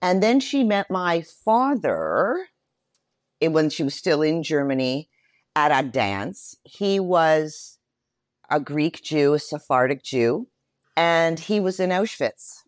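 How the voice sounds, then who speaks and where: A woman's voice: deep voice, very animated, takes it slowly, no room sound, clean studio sound. A middle-aged woman speaking as an interview guest, telling personal stories expressively, repeating phrases for emphasis. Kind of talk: personal storytelling in conversation.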